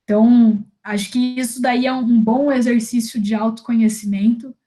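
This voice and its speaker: A young woman with a Brazilian Portuguese accent talks through a career exercise for a group. Her voice sits a little high. Her talk is loose and slangy, full of restarts. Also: fairly even intonation, normal speed, warm tone, low-quality recording. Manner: casual and slangy, with frequent restarts. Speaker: career workshop presenter. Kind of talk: informal workshop talk.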